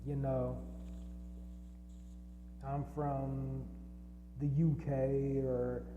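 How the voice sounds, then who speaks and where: A man's voice: mid-range voice, flat delivery, very slow, lo-fi sound, over music. A man hosting his own podcast, talking off the cuff in a solo monologue with many fillers and restarts. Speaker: podcast host. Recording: long reverb and faint hum.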